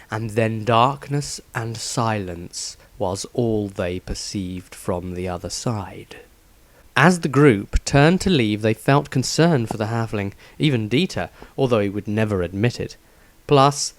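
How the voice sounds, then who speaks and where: A man narrating a fantasy story aloud in an expressive storytelling manner. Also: fairly low pitch, unhurried, no room sound.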